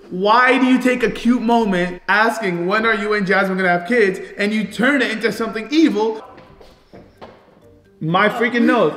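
A man in his late twenties bantering casually in a vlog, animated and slangy, often repeating himself. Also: high voice. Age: late 20s. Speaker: vlog host. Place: indoor kitchen bar area at home. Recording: echoey, reverberant room.

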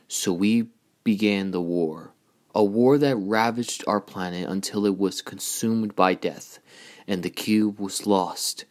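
A man voicing a noble, solemn giant robot leader, declaiming a movie monologue gravely in a measured way. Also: fairly low pitch. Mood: solemn, epic. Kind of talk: movie monologue in amateur voice acting.

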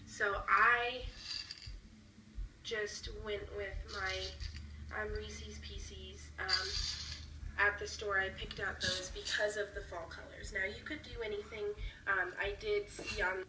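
A woman's voice: medium pitch, steady tone, takes it slowly, distant mic, background noise. A woman with a slight cold hosting a craft tutorial, giving friendly step-by-step instructions with fillers, heard replayed through a tablet speaker. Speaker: craft channel host. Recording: tablet speaker replayed with room echo and music.

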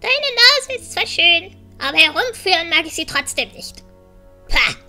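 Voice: very high-pitched, big pitch swings, a little slow, sharp tone, soft background music, full character voice. An actor voicing a small, cheeky, grumbling male dragon, pushy and whiny, speaking in broken grammar in a fantasy audio drama. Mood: cheeky, huffy.

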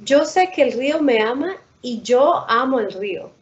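A woman's voice: high voice, lively intonation, brisk. A woman co-reading a children's picture book aloud for a storytime over a video call. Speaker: storytime co-reader. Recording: dull lo-fi video call audio.

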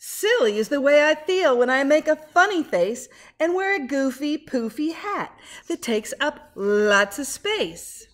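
A middle-aged woman, a teacher, doing an expressive, interactive picture-book read-aloud with chatty asides to the children. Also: high voice, unhurried. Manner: expressive, dramatic when reading, conversational asides to the children. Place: small living room at home, indoors. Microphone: webcam or laptop at arm's length.

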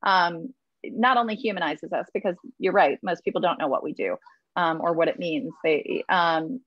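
Woman, lowish voice, chatty, filler-heavy, dry, muffled video-call audio. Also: moderate pace, no room sound. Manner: chatty, many fillers, candid. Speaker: state representative. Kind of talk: virtual town hall discussion.

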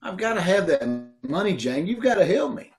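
A man portrays a pleading, penniless brother in an old-time radio comedy. The voice is high and very animated, with needy, exasperated inflections. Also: brisk, music in the background. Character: pleading, broke brother begging for a loan. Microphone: video call.

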